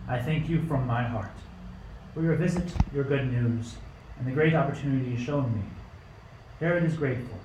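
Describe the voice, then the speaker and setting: A man playing a scheming, commanding old king in an amateur radio play, with a regal, deliberate, theatrical delivery. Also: mid-range voice, lightly acted. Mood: courtly, solemn. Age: older. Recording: roomy, distant mic with background hiss.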